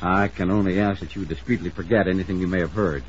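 An actor playing a fussy, flustered stockbroker, prim, formal and indignant, in an old-time radio drama. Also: male voice, low voice, steady tone, fast, lightly acted. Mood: anxious, indignant. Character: fussy, flustered, formal male stockbroker guarding client confidences. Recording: muffled, noisy old radio recording.

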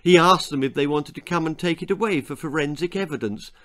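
A man in his sixties reading a children's story aloud in a theatrical, deliberate way, relishing gruesome words. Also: on the high side, sharp tone. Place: small indoor room lined with bookshelves.

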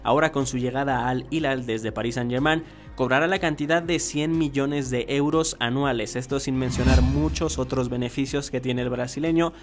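A man's voice: mid-range voice, normal speed. Male football countdown host over music. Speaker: YouTube host. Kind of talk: scripted football countdown narration. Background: background music.

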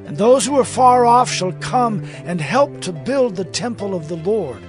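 A man's voice: high voice, expressive, measured pace, over music. A man playing an earnest, awed prophet recounting his visions dramatically in an audio Bible. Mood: proclaiming.

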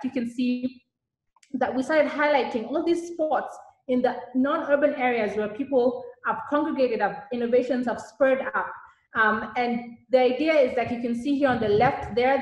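A fairly high-voiced woman from Kenya talks through slides on a panel with earnest, conversational warmth, often stumbling and restarting her words. Her voice rings in a roomy, echoey space. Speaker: architect and lecturer, panelist. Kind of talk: conference panel presentation.

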